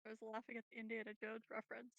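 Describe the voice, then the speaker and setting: Female game player, higher voice with vocal fry, tentative casual chat, dry sound. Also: monotone, rapid-fire, a bit bright, no echo, muffled recording.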